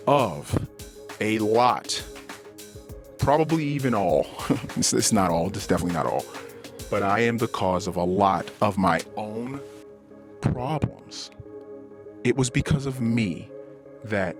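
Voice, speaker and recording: Emphatic man ranting over music. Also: fairly low pitch. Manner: emphatic, repetitive, profane. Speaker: podcast host. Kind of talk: unscripted personal rant to listeners.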